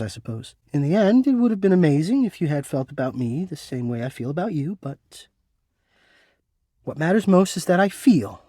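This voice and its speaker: A man's voice: sits high, darkish tone, dry recording, studio quality. An actor playing a shy, insecure, lovestruck male recluse in a video game, speaking hesitantly with stammers and formal, genteel phrasing. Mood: bittersweet, grateful. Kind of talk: expressive video game voice acting.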